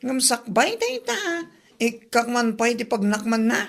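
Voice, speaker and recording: A brash, gossipy woman friend in a comedy radio drama. Her fairly high voice has a gravelly creak, and she exclaims with indignant reproach. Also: sing-song, unhurried, exaggerated voice.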